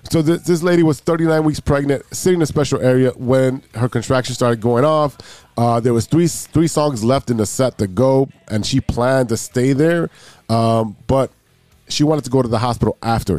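A man's voice: medium pitch, mellow, dry recording. A man hosting a casual sports and news podcast, sounding nasal and stuffy from allergies, rambling and joking with restarts. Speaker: podcast host.

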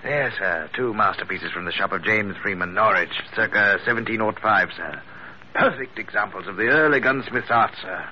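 An actor playing a suave, obsequious fat antique dealer in 1940s radio drama, formal, precise and unctuous. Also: male voice, lowish voice, moderate pace, lightly acted. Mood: courteous, relishing. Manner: formal, unctuous, precise, says "sir" constantly. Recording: muffled old radio recording, faint noise.

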